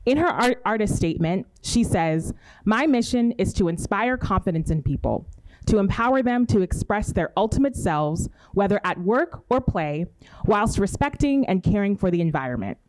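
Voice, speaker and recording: A woman's voice: mid-range voice, lively intonation, moderate pace, a little mellow, poor audio. A woman hosting an arts interview series, reading introductions and asking questions in a friendly way with hesitant fillers.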